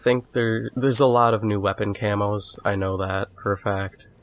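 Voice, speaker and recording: A man with a cold, a little sniffly, giving relaxed, rambling commentary over a game walkthrough. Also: fairly low pitch, normal speed. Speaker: gamer doing a walkthrough. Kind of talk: casual gameplay commentary.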